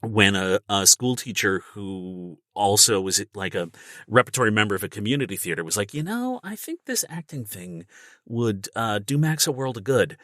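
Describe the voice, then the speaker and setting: A middle-aged man, an actor appearing as a podcast guest, telling casual anecdotes with emphatic, animated phrasing. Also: on the low side, moderate pace, no room sound, studio quality.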